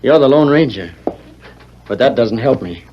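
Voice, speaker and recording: An actor playing a young escaped convict cowboy in a 1940s radio western, plain-spoken, earnest and resigned. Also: male voice, mid-range voice, animated, normal speed, lightly acted. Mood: grateful, frank. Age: young adult. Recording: very noisy, dull 1940s radio recording.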